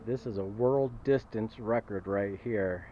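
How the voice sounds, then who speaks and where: Chatty dad vlogging outdoors, muffled camera audio. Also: male voice, lowish voice, unhurried, slight background noise. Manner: chatty, upbeat, keeps addressing viewers as "guys". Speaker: vlogger and father filming his family. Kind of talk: casual vlog narration to camera. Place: outdoor campground and woods. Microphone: handheld action camera.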